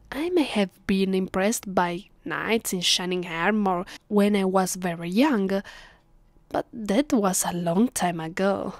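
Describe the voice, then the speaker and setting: Slow, haughty Italian-accented vampire mistress, acted by a woman. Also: mid-range voice, dry recording. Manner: condescending, commanding, unhurried. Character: haughty, teasing ancient female vampire mistress. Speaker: voice actress. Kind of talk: ASMR roleplay, slow soft speech slipping into whispers. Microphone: close, dry microphone.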